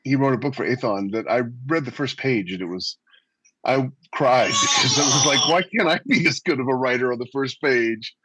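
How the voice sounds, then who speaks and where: A man co-hosting a podcast in casual, jokey conversation, speaking in an animated, playfully exaggerated way. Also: medium pitch, moderate pace, a little hiss or hum, rough recording. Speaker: podcast co-host.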